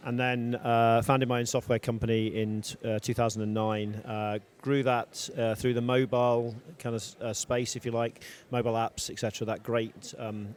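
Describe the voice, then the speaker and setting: Middle-aged man, flat businesslike voice. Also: medium pitch. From not Scotland; living there 25 years. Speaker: panelist, investor and board chair.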